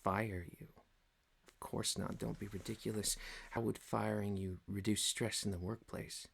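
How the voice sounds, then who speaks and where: A man playing a stern, pompous office boss in an ASMR roleplay, speaking formally and deliberately with theatrical pauses. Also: lowish voice, level tone, vocal fry, husky, full character voice. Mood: grave, softly lecturing. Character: stern, pompous male office boss, mock-solemn, paternal. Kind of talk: scripted ASMR roleplay monologue.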